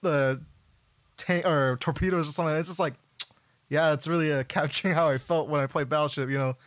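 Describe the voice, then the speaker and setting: A man co-hosting a podcast in casual chat, wry and halting, often chuckling as he talks. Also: a little high, fairly even intonation, a bit bright, no room sound. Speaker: podcast co-host.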